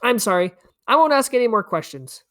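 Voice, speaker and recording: A woman's voice: fairly high pitch, very animated, a little fast, pitched above the performer's own voice. A woman voicing a curious, wary young boy in a storybook, questioning and earnest. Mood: eager, apologetic.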